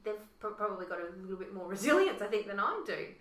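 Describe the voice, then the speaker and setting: A woman host with a croaky voice, chatting warmly and using lots of fillers. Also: mid-range voice, very animated, fast, far from the mic. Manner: chatty, lots of "you know". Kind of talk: casual discussion. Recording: roomy echo.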